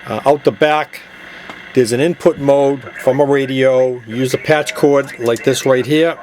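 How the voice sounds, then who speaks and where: A man's voice: medium pitch, steady tone, unhurried, darkish tone, poor audio. A man giving a casual, plain-spoken hands-on product demonstration, explaining it step by step. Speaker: product demonstrator, CB radio gadget seller.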